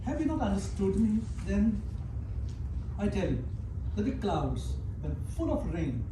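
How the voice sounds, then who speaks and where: A man's voice: high voice, sing-song, a little slow. A man playing a pompous philosopher-teacher of ancient Athens, lecturing in a declamatory, emphatic stage-reading style. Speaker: amateur actor in a staged play reading. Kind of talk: dramatic reading of ancient Greek comedy. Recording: very echoey room, distant mic, noisy.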